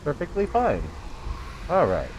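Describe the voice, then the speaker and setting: A man's voice: fairly high pitch, wildly swooping pitch, measured pace, darkish tone, low-quality recording. A man giving casual let's play commentary, muttering short, musing remarks to himself as he plays. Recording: clear background noise, game ambience.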